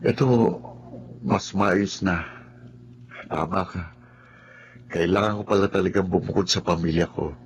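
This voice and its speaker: A man's voice: deep voice, fairly even intonation, unhurried, long pauses, dull audio, slight background noise. A man playing an earnest, reflective nephew who supports his family, in a Filipino radio drama. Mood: confiding. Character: adult nephew, burdened but accepting family breadwinner. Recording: music under the voice.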